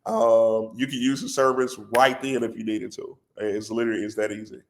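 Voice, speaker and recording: A man with a head cold and a congested voice, a company president talking as a podcast guest in an animated, persuasive way. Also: mid-range voice, moderate pace. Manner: animated and persuasive, says "bro" a lot. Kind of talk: casual podcast conversation.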